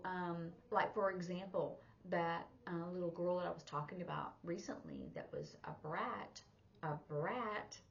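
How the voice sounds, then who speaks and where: A woman's voice: lowish voice, dull audio. A woman giving an informal spoken sign language lesson, rambling conversationally as she explains things through examples. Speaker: sign language teacher and interpreter.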